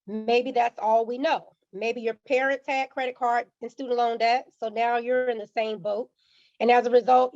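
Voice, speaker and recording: A woman, under the weather with a slightly raspy voice, giving a teacherly personal finance presentation to a church community and often checking in with "okay?". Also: a little high, dry recording, lo-fi sound. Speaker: guest speaker on personal finance.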